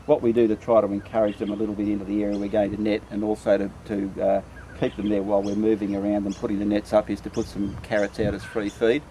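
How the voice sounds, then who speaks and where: A man's voice: fairly low pitch, level tone, fast, few pauses, dark tone, low-quality recording. A man giving an informal, practical field explanation in a plain-spoken manner, like a trainer showing people the job. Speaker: rabbit control trapper and trainer. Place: outdoors on rural land. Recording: clear outdoor rural background noise.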